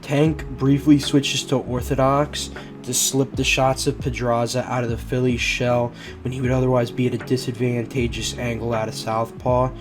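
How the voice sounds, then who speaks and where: A man's voice: medium pitch, level tone, normal speed, over music. A man with a bad cold, sounding congested, casually narrating a boxing fight breakdown as host of his channel, with slangy asides and loose restarts. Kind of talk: voice-over fight breakdown commentary.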